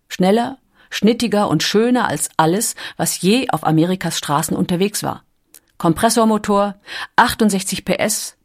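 Low-voiced female documentary narrator.

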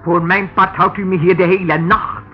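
A woman's voice: on the low side, flat delivery, normal speed, a little hiss or hum, close to an everyday voice. An actress playing a brash, scornful woman under police questioning in a radio drama. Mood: indignant, snappish. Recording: tinny, band-limited old radio recording.

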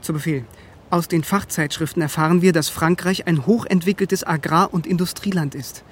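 An actor playing a bookish, quietly stubborn young conscript soldier who tells his own story in a radio drama. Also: on the high side, fairly even intonation, normal speed, slight background noise, close to an everyday voice. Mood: nervous, dutiful. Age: young adult. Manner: wry and reflective, nervous before officers. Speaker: narrator and lead character. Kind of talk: first-person radio drama narration and dialogue. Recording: music under the voice. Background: music.

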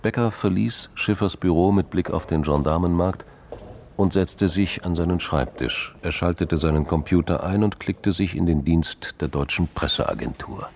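A man with a gravelly voice narrating a scripted crime drama. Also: low-pitched, moderate pace, a little mellow, slight background noise.

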